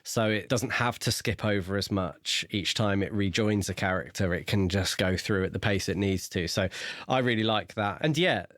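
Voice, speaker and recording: Low-voiced man chatting on a book podcast. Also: normal speed, fairly bright tone, no echo, clean studio sound. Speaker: podcast co-host discussing a novel. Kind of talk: casual book-club chat.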